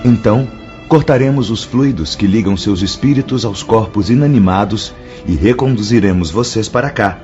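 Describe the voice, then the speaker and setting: Brief: male actor as calm spirit guide for radio drama, low voice, solemn and didactic, slightly muffled, soft music underneath. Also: normal speed, lightly acted. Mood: solemn, reassuring. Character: young male spirit mentor, calm and authoritative. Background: faint music.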